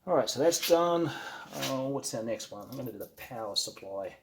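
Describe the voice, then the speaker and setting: A man giving casual, unscripted how-to commentary as a hobbyist at work on a build, halting and thinking aloud as he goes. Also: mid-range voice, animated, noisy background. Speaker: hobbyist narrating an electronics kit build.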